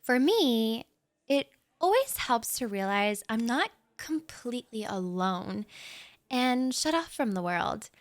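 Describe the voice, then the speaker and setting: A young woman plays a bashful writer who shares her table at a café, rambling softly with little nervous laughs. She talks slowly in a gently raised voice. The sound is close and dry, with no room echo. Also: on the high side, big pitch swings, heavily acted. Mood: reflective. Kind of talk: audio roleplay.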